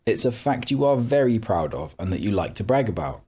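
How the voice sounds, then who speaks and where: A man's voice: fairly low pitch, big pitch swings, a little fast, dark tone, slightly rough. A man reading a scripted narration in a pointed, sarcastic, accusatory manner. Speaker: narrator of a call-out video.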